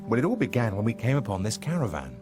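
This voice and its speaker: Courteous fantasy game adventurer, deep-ish voice, formal, earnest, loud musical backing. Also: male voice, huge pitch swings, fast talker, over music. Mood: earnest, respectful. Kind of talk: video game cutscene acting.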